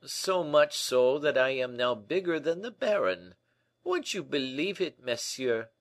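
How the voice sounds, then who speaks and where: A man's voice: mid-range voice, big pitch swings, a little slow, dry recording, voice pushed up. A man voicing a stout, gracious, self-satisfied manservant in an audiobook, with a deferential manner. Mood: gracious, rueful. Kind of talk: audiobook character acting.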